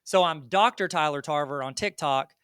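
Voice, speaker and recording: A man's voice: fairly high pitch, normal speed, a bit bright, clear, resonant tone, dry recording, studio quality. A man with a Southern US accent, a guest on an interview, talking in a rambling, joking, self-deprecating way. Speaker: guest educator. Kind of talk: casual interview chat.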